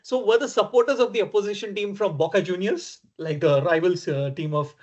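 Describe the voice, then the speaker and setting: A man of Indian origin hosting a podcast, asking questions in casual chat and often saying "you know". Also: high-pitched. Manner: quick, with frequent "you know" and short reactions. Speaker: podcast host. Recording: dull lo-fi remote audio.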